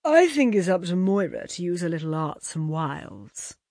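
Audiobook part read by a woman: showy young man about town, loud and affected, lively swoops, dry studio sound. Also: lowish voice, moderate pace, no room sound. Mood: playful, showy. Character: hearty, flamboyant young bohemian men, theatrical and flippant. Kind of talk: audiobook character dialogue.

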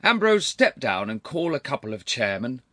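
A man's voice: medium pitch, sing-song, moderate pace, fairly bright tone, no room sound, voice pushed up. A man voicing a haughty, irascible older aristocratic uncle in a storybook reading, stern and pompous, with cutting formal phrasing. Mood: severe, irritated. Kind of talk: audiobook character voice.

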